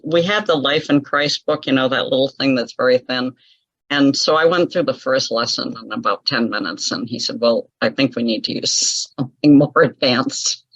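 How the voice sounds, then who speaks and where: A woman being interviewed, chatting through a personal story with frequent laughs and a lively, conversational telling. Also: low-pitched, husky, no echo, muffled recording. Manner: chatty, laughs often, lively retelling of her own past. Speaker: interview guest, author.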